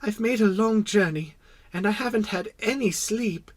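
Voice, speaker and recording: A man's voice: sits high, moderate pace, pitched above the performer's own voice. A man voicing a timid, curious little prince in a storybook, speaking politely and hesitantly. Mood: embarrassed, timid. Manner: soft, hesitant, polite questioning.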